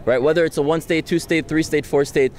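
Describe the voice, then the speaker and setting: A young man from France giving a street interview outdoors on a campus, talking fast and insistently into a handheld mic. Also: on the high side, fairly even intonation, a little mellow. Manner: fast, insistent, argumentative, frequent tag "right?". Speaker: interviewee, student activist. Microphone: handheld mic held close by interviewer.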